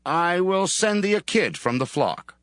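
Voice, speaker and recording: A man's voice: high voice, lively intonation, a little slow, fairly bright tone, no echo, clean studio sound, voice pushed up. A man voicing a stern, proud middle-aged patriarch in a dramatized scripture reading.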